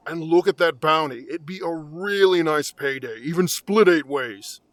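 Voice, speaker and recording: A man's voice: fairly high pitch, normal speed, a bit bright, no echo, lightly acted. A man acting a casual, upbeat adventurer in a fantasy audio drama, with a folksy manner.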